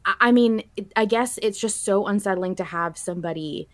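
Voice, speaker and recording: A woman's voice: mid-range voice, a little fast. A woman co-hosting a podcast, talking casually about a story in an earnest, reflective and emphatic way. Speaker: podcast co-host. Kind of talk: casual discussion of a fiction podcast.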